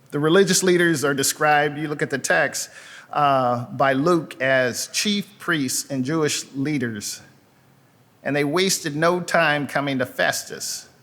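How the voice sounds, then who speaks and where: A male pastor preaching a sermon, reading scripture and adding relaxed, conversational asides with frequent fillers, recorded in a church sanctuary. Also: a little high, slow, in a large hall.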